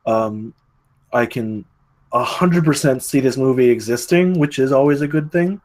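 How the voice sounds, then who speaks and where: A man with a mid-pitched voice talks through notes in a casual way. He is hesitant and rambling, repeating words as he works toward each point. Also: moderate pace, darkish tone. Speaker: table read participant giving script feedback. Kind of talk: casual spoken critique.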